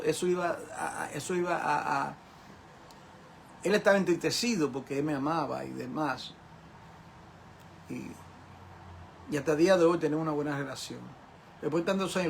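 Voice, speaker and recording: A man's voice: a little high, a little hiss or hum, rough recording. An older man teaching a Bible class as a pastor, in an emphatic, repetitive way with rhetorical questions to his listeners. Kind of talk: sermon-like Bible lesson.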